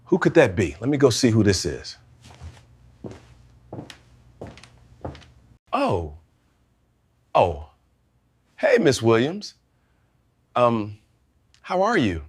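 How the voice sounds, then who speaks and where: A man's voice: medium pitch, wildly swooping pitch, slow, pauses often, husky, full character voice. A man playing a remorseful middle-aged father and reformed villain in a motion comic. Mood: awkward surprise.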